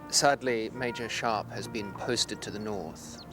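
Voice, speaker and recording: An actor playing a guarded aristocratic gentleman, formal and placating, in a period drama. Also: on the low side, a little slow, music in the background, close to an everyday voice. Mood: polite, guarded.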